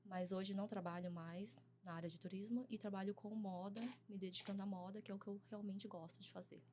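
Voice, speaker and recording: A Brazilian woman in her forties speaks as a podcast guest. She talks softly and haltingly, pausing often to find her words, at an ordinary middle pitch. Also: steady tone, slight background noise. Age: 40s. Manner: soft-spoken and hesitant, with many fillers and pauses. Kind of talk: casual interview conversation.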